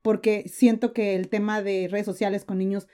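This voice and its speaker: Chatty Mexican woman with a mellow voice. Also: mid-range voice, level tone, normal speed. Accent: Mexican Spanish. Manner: chatty, frequent fillers and restarts. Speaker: guest. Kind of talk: casual interview chat.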